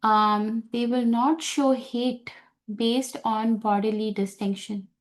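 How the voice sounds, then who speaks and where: Slow, searching woman teaching scripture. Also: on the high side, steady tone. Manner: slow, searching, many fillers and restarts. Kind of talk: unscripted talk on scripture.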